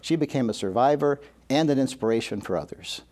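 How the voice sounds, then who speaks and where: A man hosting an interview program, reading a measured scripted introduction and then asking questions. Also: medium pitch, lively intonation, a little mellow. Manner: measured, brief reactions. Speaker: host.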